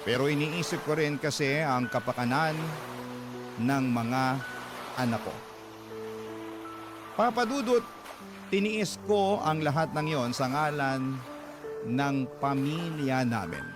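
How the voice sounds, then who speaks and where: Male actor, weary devoted father, mid-range voice, earnest measured first-person radio drama narration, prominent music bed. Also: medium pitch, lightly acted. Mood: reflective, regretful. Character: weary, long-suffering father recounting his past. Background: background music.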